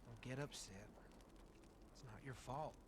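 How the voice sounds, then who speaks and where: An actor playing a charismatic, calm and menacing middle-aged cult leader in a video game, speaking softly and coaxingly. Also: male voice, mid-range voice, a little slow, a little hiss or hum, lightly acted. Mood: soothing, quietly sinister. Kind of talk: video game cutscene acting.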